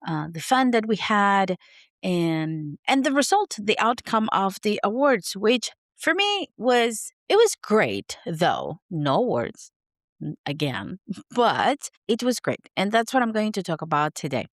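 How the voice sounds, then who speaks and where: A raspy, hoarse woman with a sore throat hosting her podcast in an unscripted, rambling chat, laughing at herself. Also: mid-range voice, sing-song, measured pace, no room sound, studio quality. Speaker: podcast host.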